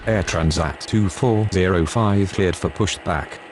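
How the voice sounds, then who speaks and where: A man's voice: sits low, unhurried. A man reading clipped air traffic control radio calls while playing a flight control game. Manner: clipped, formulaic radio calls with call signs. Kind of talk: reading aviation radio phraseology.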